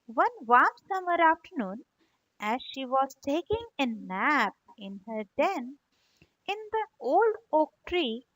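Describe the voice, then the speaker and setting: High, animated woman reading aloud to children. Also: no room sound, low-quality recording. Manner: expressive, teacherly, addresses children directly. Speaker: storyteller for children. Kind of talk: read-aloud moral story narration.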